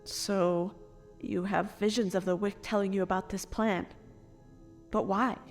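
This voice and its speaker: A woman playing a creaky-voiced wronged noble. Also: medium pitch, fairly even intonation, unhurried, soft background music, lightly acted. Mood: wary, questioning. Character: wronged, grieving noble parent, reluctant future assassin. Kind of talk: dark fantasy audio drama acting.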